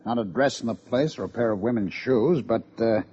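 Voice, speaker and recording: Man playing a husky, sardonic American police lieutenant. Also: mid-range voice, brisk, mellow, close to an everyday voice. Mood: puzzled, investigating. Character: dry, needling male police lieutenant. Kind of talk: old-time radio detective drama acting. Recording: muffled old radio recording.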